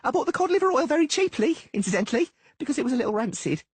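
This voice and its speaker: A man's voice: very high-pitched, big pitch swings, rapid-fire, slightly rough, dry recording, voice pushed up, full character voice. A man voicing a male shape-shifting doppler who poses as a halfling merchant, stuttering and reeling off lists with pride. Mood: eager. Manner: stuttering, rattles off lists proudly.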